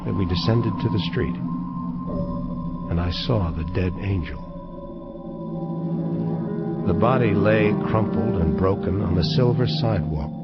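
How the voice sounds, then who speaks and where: A solemn hardboiled storyteller, voiced by a man, narrates deliberately in a deep voice. The sound is dull, with loud music underneath. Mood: somber, wistful. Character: male angel detective narrator, somber hardboiled storyteller. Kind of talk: scripted first-person narration.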